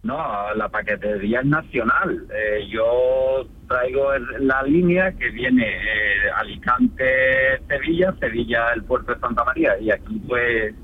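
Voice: mid-range voice, a little slow. A man who drives a truck, answering questions casually as a phone-in guest over a narrow phone line. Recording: narrow tinny phone line, faint noise.

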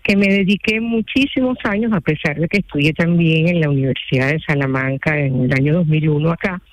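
A woman's voice: sits low, brisk, noisy background. A woman from Venezuela with a Venezuelan accent, a radio guest explaining her work in an earnest way and often saying "este". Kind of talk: phone-in radio interview.

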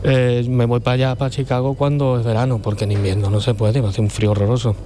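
A middle-aged painter with a Cádiz Andalusian accent, chatting as an interview guest in a loose, rambling way. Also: male voice, mid-range voice, level tone, quick pace, dark tone, slight background noise. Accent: Cádiz Andalusian Spanish. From Cádiz, Spain. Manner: rambling, loose talker who often circles back. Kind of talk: relaxed conversational interview.

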